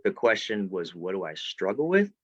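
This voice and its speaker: Male guest author from Florida, deep voice, dull call audio, rambly and casual. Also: very animated, moderate pace, a bit bright, husky, no echo. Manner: rambling, self-interrupting, swears casually. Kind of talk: casual video-call interview. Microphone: video call.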